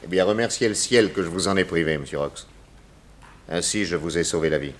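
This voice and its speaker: An actor playing a shrewd, authoritative detective in a 1960s French radio drama, theatrical, deliberate and accusatory. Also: male voice, sits low, lightly acted. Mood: stern, explaining.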